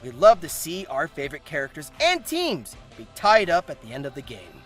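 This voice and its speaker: A man's voice: very high-pitched, fairly bright tone. A man hosting a scripted comedic review, narrating theatrically with exaggerated emphasis. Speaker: host.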